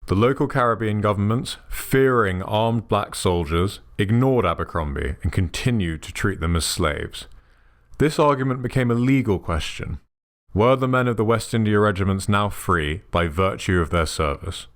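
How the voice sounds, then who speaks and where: A man giving measured, scripted documentary narration. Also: fairly low pitch. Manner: measured, even documentary delivery. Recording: soft music underneath.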